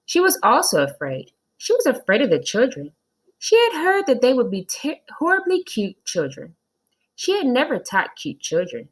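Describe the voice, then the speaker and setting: Animated, slightly husky woman reading aloud. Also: fairly low pitch, low-quality recording. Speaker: read-aloud teacher. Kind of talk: expressive storybook narration for children.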